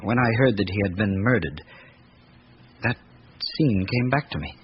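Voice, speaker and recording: A man's voice: on the low side, lively intonation, unhurried, husky, heavily acted. An actor playing an earnest, well-bred young grandson of an aristocratic family, reflective and hesitant as he gives his account in a radio drama. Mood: troubled, reflective. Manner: reflective, articulate, hesitant in recalling. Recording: muffled, noisy old radio recording.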